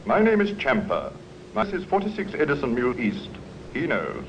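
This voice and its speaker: An actor playing a curt, insistent gentleman in a vintage radio drama. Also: mid-range voice, wildly swooping pitch, moderate pace. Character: curt, insistent gentleman caller leaving an urgent message. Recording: dull old radio recording, faint hiss.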